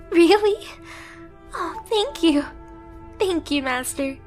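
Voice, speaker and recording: A woman voicing a timid, clingy young catgirl pet in an ASMR roleplay, soft and girlish, with meek, hesitant, stammering, apologetic speech. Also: sits high. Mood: grateful, amazed.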